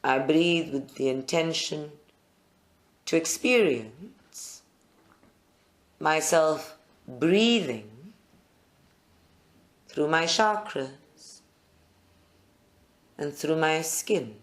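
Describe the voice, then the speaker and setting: A meditation guide leads a live group session in short, deliberate phrases with pauses between them. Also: medium pitch, expressive.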